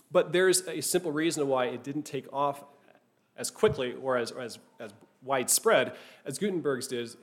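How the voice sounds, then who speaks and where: A man teaching a class, speaking thoughtfully, often restarting phrases and checking in with "right?". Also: mid-range voice. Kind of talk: classroom lecture.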